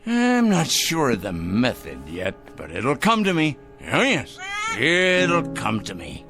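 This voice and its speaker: An actor playing a fanatical, self-righteous male vigilante in a radio drama, swinging from hushed murmurs to shrill outbursts. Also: huge pitch swings, slow, full character voice. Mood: zealous. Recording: faint music score behind.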